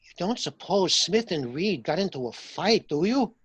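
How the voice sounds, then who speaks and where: A man's voice: sits high, sing-song, moderate pace, fairly bright tone, breathy edge, no echo, exaggerated voice. An actor playing an anxious, fussy, easily rattled male homeowner in a radio drama. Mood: fretful. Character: fretful, easily rattled male owner of haunted house.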